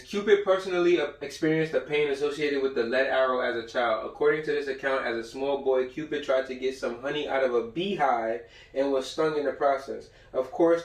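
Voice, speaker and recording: A man giving an informal lecture, reading passages aloud and commenting in blunt, profane slang, repeating himself for emphasis. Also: mid-range voice. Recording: roomy echo, far from the mic.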